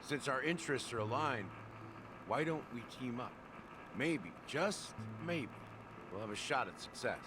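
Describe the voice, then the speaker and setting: A man's voice: medium pitch, animated, measured pace, background noise, natural voice. Swooping, wry old investigator played by a man. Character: wry, world-weary older male investigator. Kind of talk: video game voice acting.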